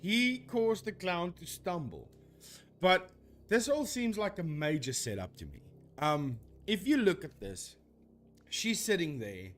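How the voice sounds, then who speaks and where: Animated man rambling on stream. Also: high-pitched, unhurried. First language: Afrikaans. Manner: rambling, self-correcting, animated. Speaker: streamer debunking ghost videos. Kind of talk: casual live-stream commentary.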